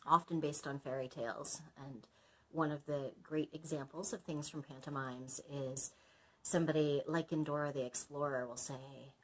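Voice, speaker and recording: A woman's voice: sits low, steady tone, normal speed, poor audio. A woman librarian reading a story aloud expressively, breaking off to explain things in chatty asides.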